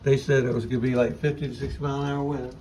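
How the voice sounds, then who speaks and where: A man's voice: medium pitch, level tone, racing, a little mellow. A man chatting casually in a home video recording. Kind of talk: casual chat. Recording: noisy home video, ambient noise.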